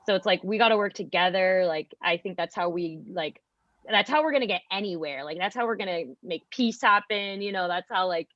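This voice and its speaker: A young adult podcast guest chatting casually, rambling with lots of "like" and restarts. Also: female voice, medium pitch, a little fast, fairly bright tone, dry recording. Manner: rambling, heavy use of "like". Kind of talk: casual chat. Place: college radio studio. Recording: dull lo-fi dry sound.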